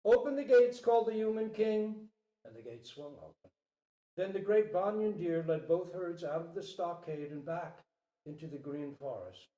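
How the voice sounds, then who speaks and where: A man speaking as a storyteller and teacher, with a theatrical, measured delivery. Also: fairly high pitch, expressive, warm tone. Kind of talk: dramatic story reading and spoken teaching. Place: talk at a Zen center retreat. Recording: roomy echo, distant microphone.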